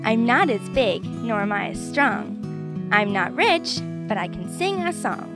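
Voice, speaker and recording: A woman's voice: fairly high pitch, very animated, measured pace, over loud music, voice pushed up. A woman doing an eager little boy. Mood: thoughtful. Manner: breathless, eager, childlike. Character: excited little boy, wide-eyed and earnest.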